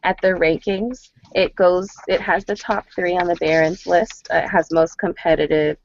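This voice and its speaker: Low-voiced woman on a dull call line. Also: fairly even intonation, moderate pace. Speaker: college advisor on a panel. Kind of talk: answering questions on a conference call.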